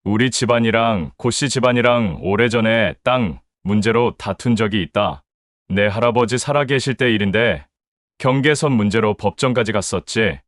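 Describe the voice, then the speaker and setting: A man's voice: on the low side, no echo, lightly acted. A man voicing a worried middle-aged father in an audio drama, speaking in a grave, shaken way, recorded close and dry.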